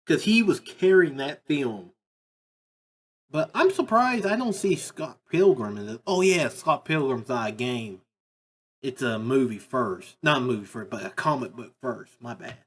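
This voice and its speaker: A man's voice: mid-range voice, animated, measured pace, breathy edge. A man giving casual, unscripted commentary as a video host, rambling and emphatic, often repeating his phrases. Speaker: video host ranking video game movies.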